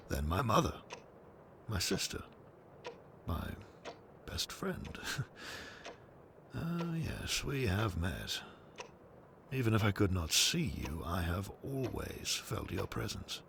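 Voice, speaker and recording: An actor playing a very old, lonely man who speaks in a halting, wistful and resigned way in an audio drama. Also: sits low, very animated, creaky, noisy background, heavily acted. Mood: wistful, knowing. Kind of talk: audio drama character acting.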